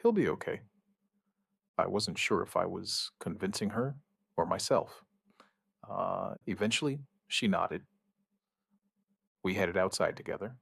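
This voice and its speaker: A man playing an outbreak survivor and protective partner, telling his story in measured, understated, short plain sentences as a scripted audio drama. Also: sits low, creaky, a bit bright, breathy edge, dry recording, clean studio sound, heavily acted. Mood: firm, reassuring. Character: male outbreak survivor recounting events, steady and protective partner. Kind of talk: first-person scripted narration of apocalyptic audio drama.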